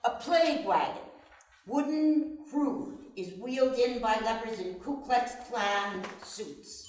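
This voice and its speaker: A woman's voice: a little high, slow pace, a little mellow. A woman narrator reading stage directions in a scripted dramatic reading. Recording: very echoey room, distant mic, noise.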